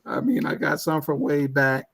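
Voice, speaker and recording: A man's voice: fairly high pitch, lively intonation, normal speed, creaky, a bit bright. A man talking as a guest in a casual online chat, stammering with many restarts. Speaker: guest IT professional.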